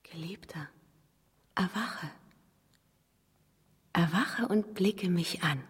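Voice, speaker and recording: A tender young beloved in a radio drama. The voice is very breathy and husky, gentle and devoted. A long reverb hangs around it. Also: female voice, fairly low pitch, extremely slow, full character voice. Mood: tender, coaxing. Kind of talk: German radio drama acting.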